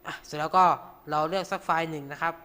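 A man's voice: a little high, steady tone, fast talker, sharp tone, smooth. A man hosting an informal tutorial, talking through each step with frequent polite particles. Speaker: radio show host.

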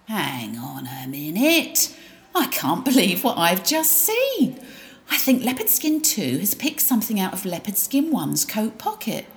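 A woman narrating a drama in a wry, gossipy first-person voice. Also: lowish voice.

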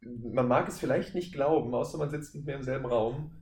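A man's voice: medium pitch, brisk, darkish tone. A man in his twenties from Germany talking casually as an interview guest, with a jokey, self-deprecating manner. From Wuppertal, Germany. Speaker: voice actor, podcast guest. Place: indoor room shared with the hosts. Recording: roomy echo, faint background noise.

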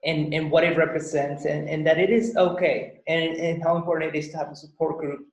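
A man's voice: a little high, steady tone, brisk. A man hosting a podcast, talking casually and rambling, often repeating his words. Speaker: podcast host. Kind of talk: casual interview chat. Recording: echoey, dull-sounding room.

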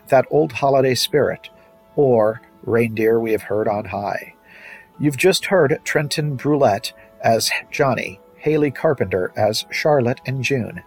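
Husky male radio announcer, formal delivery. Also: mid-range voice. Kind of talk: scripted radio play credits and holiday sign-off.

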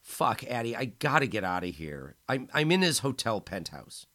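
A man's voice: sits low, sing-song, measured pace, a bit bright, voice pushed up, heavily acted. A man doing a wheezing, beaten young man. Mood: tearful. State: hoarse, wheezing.

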